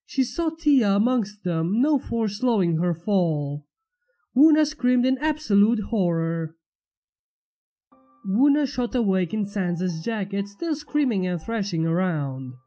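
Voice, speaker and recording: A woman's voice: medium pitch, expressive, slow, warm tone. A woman reading fan fiction aloud as a scripted story narration.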